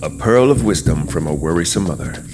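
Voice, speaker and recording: A man's voice: deep voice, animated, fairly quick, over music. A man, host and narrator of a horror audio drama, speaking in a scripted, dramatic storytelling way.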